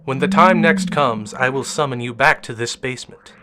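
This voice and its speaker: A man playing a mysterious, manipulative professor in an audio drama, speaking in formal, measured, grandiose lines. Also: medium pitch, sharp tone, slight background noise, natural voice. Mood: solemn, grand. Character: mysterious, manipulative professor mentor with hidden menace.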